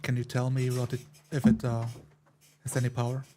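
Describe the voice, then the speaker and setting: A man's voice: medium pitch, monotone, brisk, mellow. A man playing a tabletop roleplay game, speaking restrained, hesitant lines in character and narrating his actions. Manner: hesitant, restrained, broken phrases.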